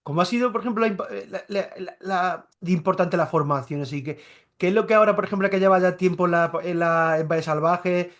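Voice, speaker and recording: A male interviewer who talks quickly in a somewhat high voice. His questions tumble out with restarts and repeated words before he lands on them. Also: a bit bright. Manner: rapid, stumbling questions with many restarts and fillers. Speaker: interviewer, journalist. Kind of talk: interview conversation.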